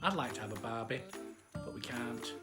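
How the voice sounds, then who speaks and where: A woman's voice: rumbling low, normal speed, over loud music, voice pushed down. A woman voicing a small, cheerful, chatty armadillo who hosts a children's bedtime story livestream. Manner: chatty, exclaiming greetings, giggly.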